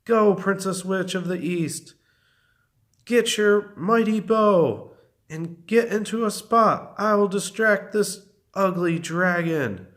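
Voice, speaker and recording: A loyal witch princess played by a man speaks with earnest urgency in a raised pitch. The storybook delivery is brave and deliberately paced. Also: fairly bright tone. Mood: urgent, brave. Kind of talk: fairy-tale storytelling.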